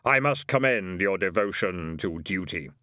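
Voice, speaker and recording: A grave, skeletal reaper speaks in slow, measured words with a deep, distant, hollow tone. The voice is dry and close, with little room around it, though a man is acting it out. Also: animated, sharp tone, clear, resonant tone, dry recording. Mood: solemn.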